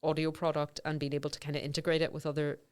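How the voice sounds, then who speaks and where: A woman academic speaks in a notably low register, her voice smooth and resonant. She is middle-aged and explains her ideas conversationally, circling back with fillers and self-corrections as she thinks aloud. The whole thing sounds like an informal podcast interview. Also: flat delivery, rapid-fire, darkish tone. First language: English. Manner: thoughtful, many fillers and self-restarts. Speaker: voice researcher, podcast guest.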